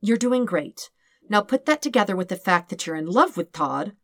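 A woman reading fan fiction aloud, voicing a calm, sympathetic professional woman who is patient and matter-of-fact. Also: mid-range voice, no room sound, studio quality. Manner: measured, careful, matter-of-fact.

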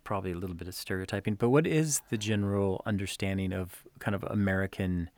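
A man's voice: low-pitched, fast talker, a little mellow, no echo. A man interviewing a guest, asking rambling questions that are full of hedges. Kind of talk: conversational interview.

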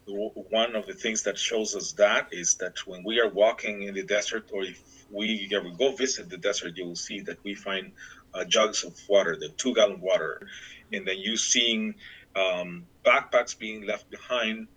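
A middle-aged man from Guatemala, speaking haltingly in an interview, with restarts and fillers. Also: fairly low pitch, steady tone, fairly bright tone, husky, poor audio. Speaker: interviewee, church worker. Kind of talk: reflective interview talk.

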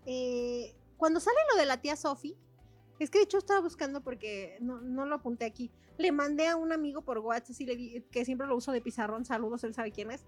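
A woman's voice: sits high, fairly quick, faint music behind. A young woman with a Mexican accent, co-hosting a casual book chat, slangy and chatty with laughs between words. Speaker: podcast co-host.

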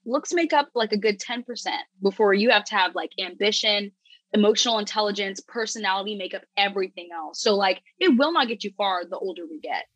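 A young woman chatting as a podcast guest, candid and animated, full of "like" and restarts. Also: medium pitch, brisk, no echo, rough recording. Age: young adult. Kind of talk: casual podcast chat.

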